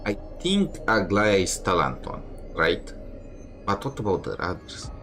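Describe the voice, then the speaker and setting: A man's voice: low voice, wildly swooping pitch, measured pace. A man giving casual gameplay commentary, reading bits aloud, with a dry, sardonic manner. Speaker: game streamer. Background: game music.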